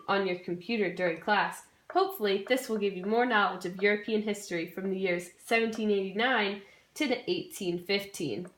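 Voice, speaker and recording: A woman's voice: medium pitch, fairly bright tone, echoey room. A young woman presenting a scripted educational puppet show, with playful, informal asides. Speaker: student presenter.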